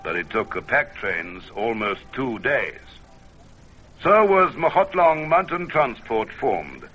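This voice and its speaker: Male documentary narrator: solemn, measured, dramatic, with forceful bright tone and wide intonation, vintage radio sound. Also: mid-range voice, very animated, highs cut off. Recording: band-limited vintage radio recording, music behind. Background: music.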